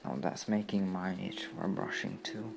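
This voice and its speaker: A man speaking in a gentle, coaxing way, as in an intimate comfort roleplay. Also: low-pitched, level tone, vocal fry, over music. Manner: gentle, coaxing, slow and unhurried. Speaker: roleplay audio performer playing a caring comrade.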